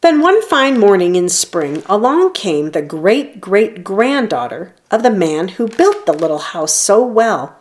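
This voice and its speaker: A woman reading a children's story aloud in an expressive storytelling manner, leaning on key phrases. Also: medium pitch, a little slow, hardly any pauses. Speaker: storybook reader. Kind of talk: children's read-aloud narration.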